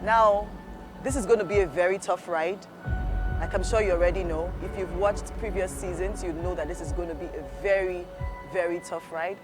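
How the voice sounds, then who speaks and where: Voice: mid-range voice, steady tone, normal speed. Upbeat show host over music. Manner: upbeat, emphatic announcer. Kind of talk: scripted presenting.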